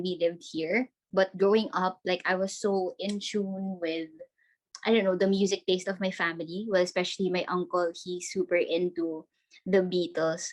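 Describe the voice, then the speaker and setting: A young woman from the Philippines chats as a guest over a laptop video call from her bedroom. Her voice sits a little low for a woman. She talks in a bubbly way, laughing often and scattering "like" through her sentences. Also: rough recording. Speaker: podcast guest. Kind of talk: casual video-call interview.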